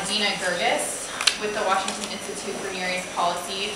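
A young woman hosts a panel briefing, introducing each guest in a low, flat voice with a few ums. She is heard from a distance in a very echoey hearing room. Heavy background noise runs under her voice. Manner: even and level, with fillers. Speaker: briefing host. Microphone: far camera. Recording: echoey room from far off, noisy.

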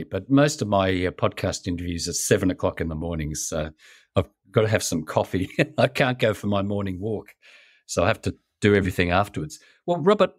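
A man with a fairly low voice hosts a business interview podcast. He opens with a polished, measured introduction before easing into friendly conversation. The sound is dry and close, with no room around it. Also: breathy edge, dry recording. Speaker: podcast host. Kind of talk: scripted intro, then interview chat.

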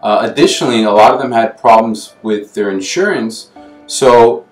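A man in his thirties, a clinical pharmacy specialist, explaining in a measured way in an interview. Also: mid-range voice, expressive. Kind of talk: interview answer. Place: office, indoors. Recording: roomy room with soft music bed.

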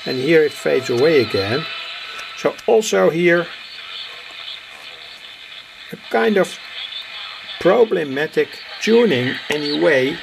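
A man giving an unscripted hands-on demonstration as a hobbyist, speaking haltingly in short phrases. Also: on the high side, lively intonation, gravelly, background noise. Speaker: hobbyist radio builder presenting. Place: indoor home workbench. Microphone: handheld camera's built-in microphone. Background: shortwave radio playing.

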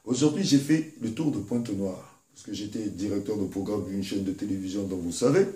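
Low, mellow-voiced male interviewer. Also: a little fast. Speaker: host. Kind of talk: interviewing a guest. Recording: roomy echo.

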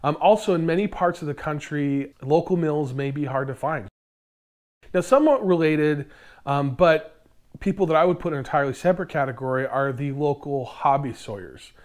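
An American man in his thirties presenting explanatory DIY tips to camera, recorded indoors on a lapel mic. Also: fairly high pitch. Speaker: host of a DIY woodworking channel. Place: indoor workshop in front of lumber racks.

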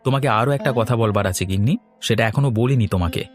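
A man's voice: medium pitch, very fast, music in the background, lightly acted. A man voicing a proud, earnest village farmer and husband in a cartoon, firm and persuasive. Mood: coaxing.

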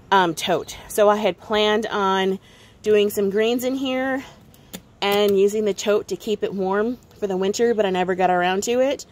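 A woman talking casually and informally outdoors, explaining things as she points them out on an unscripted garden tour. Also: mid-range voice. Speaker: gardening vlogger giving a tour. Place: outdoors on a home deck.

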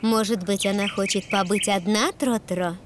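A woman voicing a kind, patient mother donkey in a preschool cartoon, speaking in a gentle, encouraging way. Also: medium pitch, fairly even intonation, moderate pace, background noise, natural voice. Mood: soothing.

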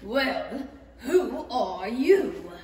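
A woman's voice: a little high, big pitch swings, extremely slow, a little mellow, heavily acted. A woman playing a languid, haughty male caterpillar with a condescending drawl in a radio play. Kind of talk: 1930s radio play acting. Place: theatre stage, reading from script. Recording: echoey hall, distant, faint noise.

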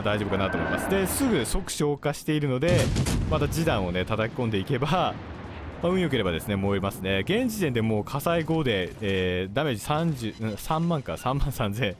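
Male game commentator, mid-pitched and very varied in intonation, polite explainer, game music and artillery behind. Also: very animated, a little fast. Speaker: gaming video host. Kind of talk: live gameplay commentary. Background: game music, gunfire and artillery.

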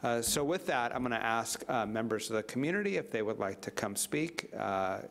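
A middle-aged man chairing a county board meeting, talking in a halting way with many fillers into a desk microphone. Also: medium pitch, animated, vocal fry, fairly bright tone.

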